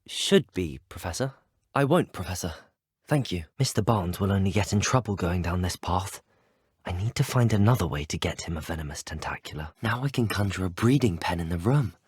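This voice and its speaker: Young wizard student in a video game, polite n earnest, husky voice, really animated intonation. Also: male voice, deep voice, brisk, no room sound, studio quality, full character voice. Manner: courteous, well-spoken, formal phrasing. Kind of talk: acted video game dialogue clips.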